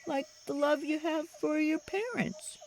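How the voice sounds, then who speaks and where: A woman voicing a calm, friendly male ape neighbor in a storybook, thoughtful and drawn-out. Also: high-pitched, a little hiss or hum, pitched above the performer's own voice, low-quality recording. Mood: reassuring.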